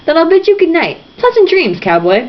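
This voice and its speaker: A woman's voice: very high-pitched, wildly swooping pitch, fairly quick, dull audio, pitched above the performer's own voice, full character voice. A woman voicing a cruel man who speaks with sneering mock formality, in an amateur storybook reading. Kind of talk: amateur storybook read-aloud.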